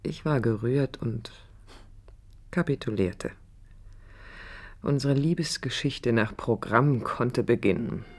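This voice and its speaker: An actress playing a disillusioned young woman architect in a radio drama, recalling her life in a low, husky, intimate monologue. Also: slow, long pauses, heavily acted. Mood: wistful, ironic. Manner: reflective, intimate, literary.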